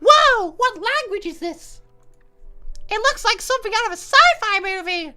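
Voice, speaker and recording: A man voicing an excitable small anime girl from a visual novel, squeaky and exaggerated. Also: normal speed, soft background music, heavily acted. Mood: amazed.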